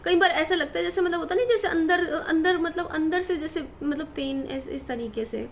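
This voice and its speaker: A woman's voice: very high-pitched, normal speed, a little hiss or hum. A woman in her thirties talking to her viewers in a worried, rambling way, with many fillers and restarts. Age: 30s. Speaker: vlogger. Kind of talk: personal talk to camera.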